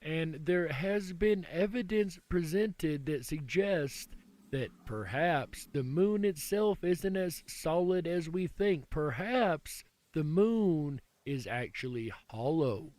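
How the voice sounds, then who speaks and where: A man's voice: on the high side, expressive, slow pace, a little mellow, no echo. A man hosting a mystery talk radio show, speaking with a theatrical showman's flair. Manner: showman, theatrical, calls himself a lunatic. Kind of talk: paranormal talk radio monologue.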